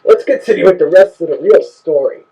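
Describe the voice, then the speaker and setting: A man's voice: high voice, expressive, brisk, gravelly, dark tone, highs cut off. A man giving casual, offhand critical commentary as he reviews a recording. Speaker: reviewer and host.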